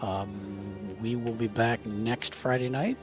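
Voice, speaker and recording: A man hosting a casual group show, chatting and signing off in an informal, halting way. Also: on the low side, steady tone. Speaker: game master and host. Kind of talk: casual show sign-off and chat. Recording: slightly dull lo-fi audio, music behind. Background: music.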